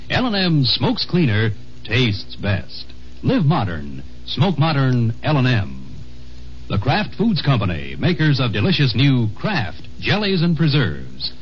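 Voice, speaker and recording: An American man announces in polished 1950s radio advertising style. His mid-pitched voice moves animatedly, and the old recording is muffled with background hiss.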